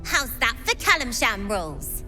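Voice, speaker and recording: A woman's voice: a little high, very animated, unhurried, over music. A woman voicing a feisty, hot-headed young street girl in a video game, brash and indignant. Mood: cocky.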